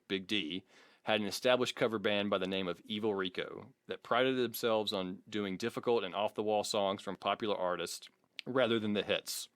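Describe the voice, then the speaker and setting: A man's voice: on the low side, fairly bright tone, no echo, clean studio sound. An American man co-hosting a comedy podcast, reading a biography with mock grandeur, swearing and slipping into casual banter. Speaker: podcast co-host.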